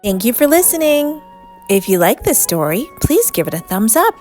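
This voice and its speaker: A woman narrating a children's storybook in an expressive, scripted read-aloud style. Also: fairly high pitch, moderate pace. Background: music.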